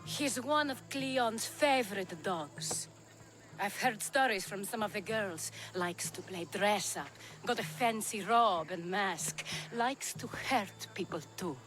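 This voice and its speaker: An actress playing a worldly, flirtatious courtesan in a video game cutscene, with a languid, wry manner. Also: female voice, medium pitch, slow pace, fairly bright tone, faint music behind. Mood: confiding.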